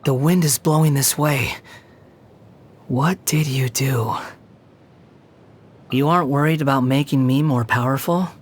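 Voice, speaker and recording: A scornful young immortal wanderer for a video game. The voice is husky and sits at an average male pitch, with a haughty, sardonic edge to every line. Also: unhurried, pauses often. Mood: cool, aloof. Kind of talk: scripted video game character voice lines.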